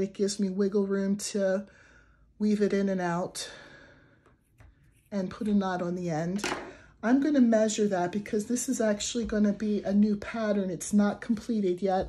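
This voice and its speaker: A young adult woman with a raspy voice from the flu, casually talking through a crochet tutorial at a table, chatty with fillers and restarts. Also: mid-range voice, warm tone. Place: indoors at a wooden table. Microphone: camera over a tabletop, hands only.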